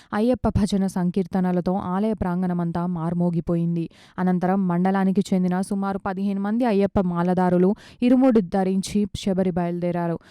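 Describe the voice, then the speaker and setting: Smooth, mellow woman reading news. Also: fairly low pitch, no room sound, clean studio sound. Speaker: news reader. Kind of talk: scripted news narration.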